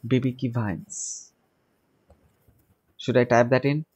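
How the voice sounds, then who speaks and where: A man in his twenties hosting a casual conversation podcast over a video call, talking from a small room and often pausing to find his words. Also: medium pitch, fairly even intonation, darkish tone. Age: 20s. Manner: hesitant, searching for words. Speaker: podcast host. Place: small home room, indoors. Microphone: headset.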